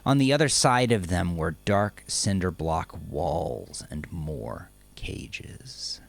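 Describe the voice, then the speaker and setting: A man narrating dark fiction in a dramatic, measured, brooding way, with phrase endings that drop to a hush. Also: sits low, animated, creaky. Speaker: audiobook-style narrator. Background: music.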